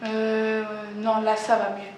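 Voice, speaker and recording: A woman's voice: on the high side, completely flat delivery, slow, a little mellow. A young woman from Algeria, giving short, hesitant answers in an interview. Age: young adult. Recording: roomy with light hiss.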